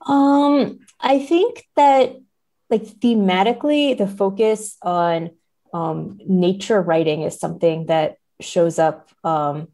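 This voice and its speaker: A woman's voice: medium pitch, slow pace, mellow. A woman speaking as a podcast guest, giving thoughtful conversational literary analysis in an explanatory way.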